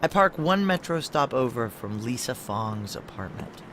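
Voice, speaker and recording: A woman's voice: a bass voice, big pitch swings, normal speed, a little hiss or hum, exaggerated voice. An actress playing a brash, foul-mouthed, hard-boiled woman operative, wry and blunt, in a noir audio drama. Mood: wry, matter-of-fact.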